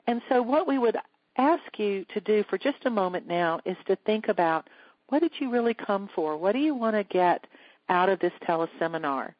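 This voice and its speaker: Woman seminar host, measured earnest delivery, dry dull phone-call sound. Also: medium pitch, animated, no room sound. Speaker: leadership coach and seminar host. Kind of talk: teleseminar presentation.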